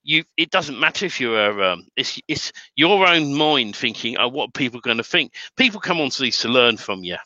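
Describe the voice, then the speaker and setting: A middle-aged British man with a very bright, forceful voice, chatty and prone to repeating words as he gets going. The audio is dry and slightly dull, lo-fi. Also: medium pitch, very animated, normal speed, hardly any pauses, no echo. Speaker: webinar guest, template trainer. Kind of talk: casual chat.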